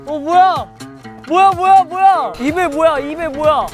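A young man chatting excitedly with his group on a trip, loud and animated, on a small headset mic. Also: very high-pitched, a little slow. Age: young adult. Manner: excitable, loud, counting others in. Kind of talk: playful group variety chat. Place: outdoors at a station and on a train. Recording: loud music bed behind.

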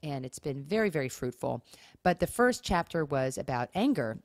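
A woman's voice: low voice, sing-song, moderate pace, no room sound, clean studio sound. A woman co-hosting a podcast, talking casually and earnestly, with emphatic points and rhetorical questions. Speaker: podcast co-host. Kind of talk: casual conversational talk on marriage and faith.

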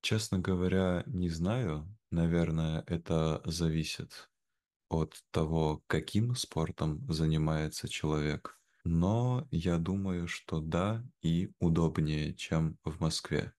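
A young man speaking in a measured, careful way, as a guest in a slow conversation for language learners. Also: low voice, a little mellow. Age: young adult. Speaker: interview guest. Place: indoor room at home, video call. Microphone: video call, wearing headphones.

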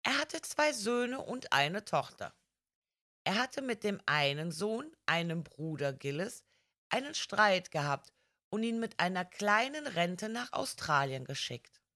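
A woman voicing a proud, defiant young woman who confides family secrets in an audiobook, earnest, formal and deliberate. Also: mid-range voice, big pitch swings, pushed and bright. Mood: earnest confiding. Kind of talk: audiobook character reading.

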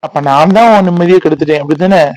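An actor playing an earnest, persistent young bridegroom in a Tamil serial, talkative and pleading in a boyish way. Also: male voice, on the high side, fast talker, a little mellow, dry recording, natural voice, low-quality recording. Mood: puzzled, hurt. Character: earnest, persistent young bridegroom devoted to his scornful wife.

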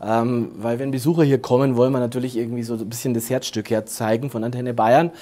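A man in his forties, hoarse from a cold, explaining radio station technology in a chatty way with lots of fillers and laughs. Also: mid-range voice, little pitch movement, fast, dark tone. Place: indoor room, then server room with air conditioning. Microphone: handheld recorder.

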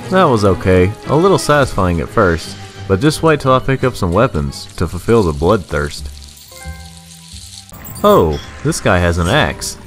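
A man's voice: lowish voice, big pitch swings, unhurried, faint music behind. A man reading a scripted, rhyming video game review in a sarcastic, sing-song manner.

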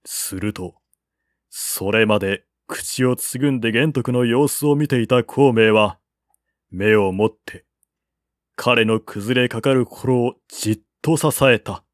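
A man's voice: mid-range voice, slow, no echo, clean studio sound. A man reading a historical novel aloud as scripted literary narration. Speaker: audiobook narrator.

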